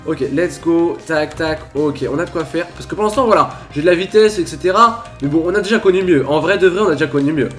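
High-voiced man giving playful game commentary. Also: fast, few pauses, soft background music. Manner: chatty, playful, bursts into laughing shouts. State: stuffy with a cold. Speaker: gaming YouTuber. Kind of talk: excited Minecraft commentary.

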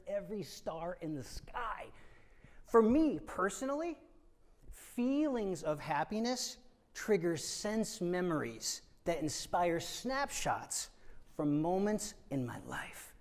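Energetic man giving a motivational speech. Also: sits high. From New England. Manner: animated, emphatic, pacing the stage. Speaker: keynote speaker. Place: large auditorium stage.

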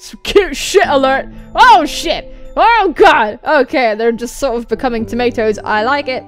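A young woman hosting a let's play, reading a visual novel aloud and commenting on it with excitable, sweary exclamations. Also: high voice, a little slow. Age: young adult. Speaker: gaming video host. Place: indoor room at home, on webcam. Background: game soundtrack music.

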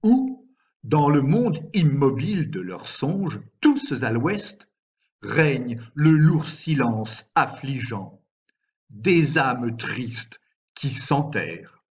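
A man reciting poetry in a measured, deliberate way, with frequent pauses between phrases. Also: mid-range voice, big pitch swings, a little mellow, slightly rough. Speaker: poet reading own work. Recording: slightly dull, lo-fi recording.

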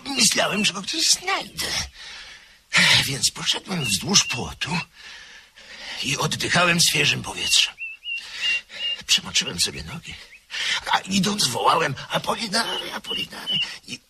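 A fussy, high-strung gentleman in an old radio play, his voice high and bright, leaping wildly up and down as he stammers out his fears. A faint hiss sits under the recording. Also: wildly swooping pitch, a little slow, long pauses, full character voice. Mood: anxious. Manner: stammering, anxious, breathless retelling. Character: nervous short-sighted gentleman, jittery and fussy. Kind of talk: radio drama acting.

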